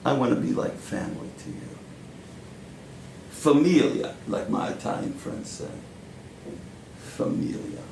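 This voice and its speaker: Man acting gravelly New power lawyer, fatherly. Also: mid-range voice, lively intonation, a little mellow. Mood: insistent, coaxing. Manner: deliberate, emphatic, persuasive. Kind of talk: dramatic stage monologue. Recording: roomy echo, faint background noise.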